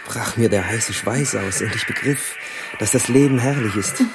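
An actor playing an elated, bashful newlywed engineer in a German radio play, effusive and theatrical. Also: male voice, lowish voice, moderate pace, creaky. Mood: blissful, gushing. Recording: loud music behind the voice.

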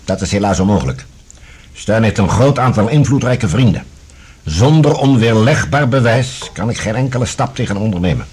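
An actor playing a composed, authoritative security general in a radio drama, speaking formally and courteously but firmly, with a husky voice. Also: male voice, lowish voice, lively intonation, fairly quick, a little mellow. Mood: measured, guarded. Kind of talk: Dutch radio drama acting.

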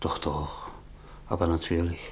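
Weary, bitter middle-aged novelist. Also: male voice, sits low, little pitch movement, a little slow. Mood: weary, gloomy. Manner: sardonic, self-pitying. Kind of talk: German radio play acting.

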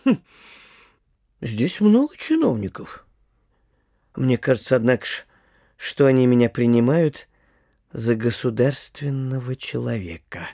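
A man playing a boastful, frivolous young gentleman in a theatrical audio drama, hesitant and self-satisfied. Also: medium pitch, sing-song, a little slow, vocal fry, long pauses, no echo, clean studio sound, heavily acted. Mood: gloating.

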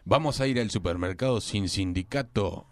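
A man's voice: lowish voice, moderate pace, no room sound, clean studio sound, lightly acted. A man acting an earnest, honest male police partner in an amateur radio play.

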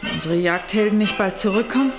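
A woman's voice: mid-range voice, fast talker, natural voice. An actress playing a practical middle-aged mother on a visit in a radio play, dry and polite. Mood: composed. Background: music.

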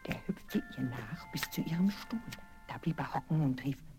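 Low-voiced woman telling fairy tales. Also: lively intonation, normal speed. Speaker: storyteller narrator. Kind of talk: scripted fairy-tale narration for children. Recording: vinyl record transfer with music.

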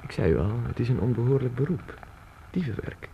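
An actor in a radio play voicing a worldly, inquisitive novelist with a formal, wordy and measured manner. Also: male voice, mid-range voice, expressive, highs cut off, lightly acted. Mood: dry, knowing. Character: worldly, inquisitive male novelist, courteous and insistent. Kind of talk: radio drama acting. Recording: noisy radio drama recording.

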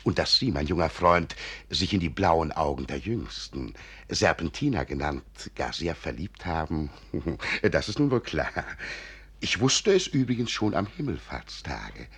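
Raspy, swooping old archivist. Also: male voice, a bass voice, sing-song, a bit bright, full character voice. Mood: sly amusement. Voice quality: raspy, rough-edged. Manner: chuckling, exclamatory, theatrically deliberate. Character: eccentric older wizardly archivist, blustering and slyly amused. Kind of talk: German classic radio play acting.

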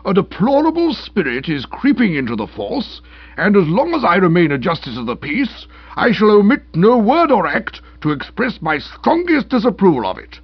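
A man's voice: on the high side, sing-song, fairly quick, gravelly, husky, no room sound, lo-fi sound, exaggerated voice. A man voicing a pompous, blustering older British lord and magistrate who speaks in orotund, long-winded sentences. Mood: outraged.

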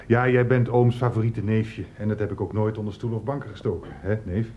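An actor playing an old, doting uncle in a radio comedy, rambling fondly and repeating himself. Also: male voice, fairly low pitch, fairly even intonation, brisk, dull audio, a little hiss or hum, lightly acted. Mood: fond, proud. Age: older. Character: doting, chatty old uncle with fond memories. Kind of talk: 1990s radio comedy drama acting.

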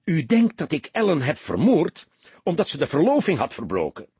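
A man acts out a young soldier in an audiobook, earnest and grieving. The voice is lifted and husky, with a hesitant stammer. He turns defensive easily, and the recording is dry and close. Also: on the high side, huge pitch swings, moderate pace, mellow, dry recording, voice pushed up, exaggerated voice. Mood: indignant. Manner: hesitant, stammering, repeats words.

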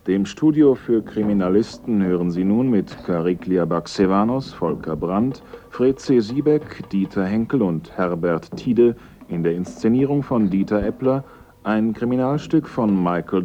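Deep, dark-voiced male radio announcer. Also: animated, unhurried. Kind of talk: scripted announcement. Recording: muffled radio recording. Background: music.

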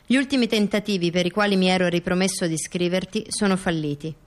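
A woman acting as a sober intellectual, reciting testimony and letters in a formal, deliberate documentary theatre style. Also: lowish voice, close to an everyday voice. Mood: solemn. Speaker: stage actress reading testimony and letters.

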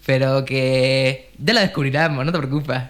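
A man's voice: mid-range voice, steady tone, normal speed, a bit bright, a little hiss or hum. A man from co-presenting a radio show. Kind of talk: radio chat and scripted summaries. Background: music, guitar under the voice.

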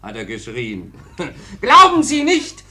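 Lively, cheeky young soldier prisoner. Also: male voice, fairly high pitch, takes it slowly, exaggerated voice. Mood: gleeful, mocking. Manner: animated storyteller, mimicking a shouting colonel, amused. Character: cheeky, gleeful young volunteer soldier under arrest. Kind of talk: German radio play dialogue.

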